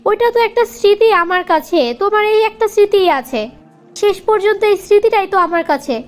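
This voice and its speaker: Very high-pitched sulky young girlfriend voiced by a woman. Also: fast, soft background music. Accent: Bangladeshi. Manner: reproachful, nagging. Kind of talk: cartoon comedy dialogue.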